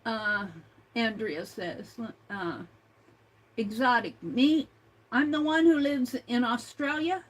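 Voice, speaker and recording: An older woman hosting an art livestream, chatting slowly and reading out viewer comments, with many fillers and restarts. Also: on the high side, low-quality recording. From United States.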